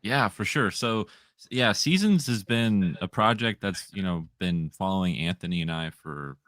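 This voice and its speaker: Deep-voiced young man, dry close sound. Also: expressive, normal speed, fairly bright tone, no echo. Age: 20s. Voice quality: deep radio-style voice. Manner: easygoing, meandering sentences. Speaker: comic book writer guest. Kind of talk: casual interview chat.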